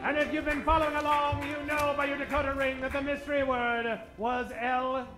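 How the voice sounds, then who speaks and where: A man's voice: very high voice, level tone, brisk. A man hosting and narrating an old-time radio serial with dramatic announcer delivery. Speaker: host and narrator. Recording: roomy stage recording, music behind.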